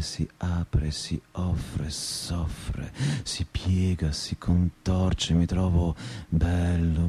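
Low, mellow, restless young man. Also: slightly rough, exaggerated voice. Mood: intimate, anguished. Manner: intimate, confessional, crude-mouthed. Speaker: stage actor. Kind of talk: theatrical radio monologue.

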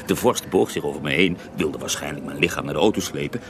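Deep-voiced, wry ghostly Russian monk. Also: male voice, fast, noisy background. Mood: dramatic recounting. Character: ghost of murdered Russian mystic monk, wry, sensual, unrepentant. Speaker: interviewed storyteller in a radio play. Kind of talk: radio drama acting, intimate first-person recounting.

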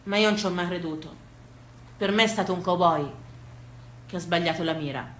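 A woman's voice: lowish voice, a little fast, a bit bright. Woman storyteller in an echoey room. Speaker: stage storyteller. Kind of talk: theatrical monologue, storytelling. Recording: echoey room with faint background noise.

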